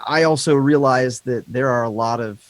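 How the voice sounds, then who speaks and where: A man with a higher-pitched voice and a slight lisp, talking candidly and rambling in a casual podcast chat, swearing freely. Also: moderate pace, dry recording.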